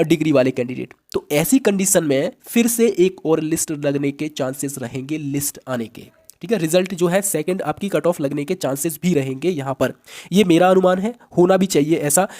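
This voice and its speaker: A man presents an explanatory update to his viewers. He talks very quickly in a somewhat high voice. His manner is teacherly and direct, with English words mixed in. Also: darkish tone. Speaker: host of an exam-update channel.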